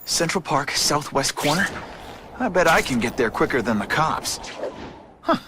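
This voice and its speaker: An actor playing a cocky, chatty young superhero who delivers sarcastic quips in a video game. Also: male voice, on the high side, very animated, moderate pace, noisy background. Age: young adult. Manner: quippy, sarcastic.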